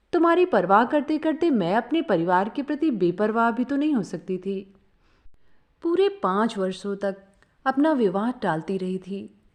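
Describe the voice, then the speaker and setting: A woman narrating a sentimental first-person story in an earnest, expressive reading style. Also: fairly high pitch.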